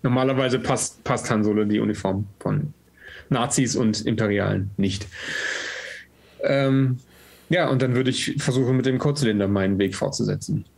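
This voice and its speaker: A man's voice: medium pitch, normal speed, creaky, a little mellow. A man, chatting casually and flippantly as he describes his character's actions in a role-playing game. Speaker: tabletop role-playing player. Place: indoors at home, on a video call.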